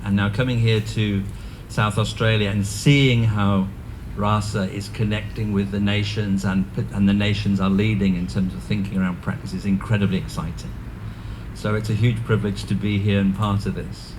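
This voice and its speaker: Low-voiced Welsh man giving an earnest speech. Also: level tone, moderate pace, background noise. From North Wales. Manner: earnest, with frequent ums and restarts. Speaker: guest speaker. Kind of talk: ceremonial speech. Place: community event venue.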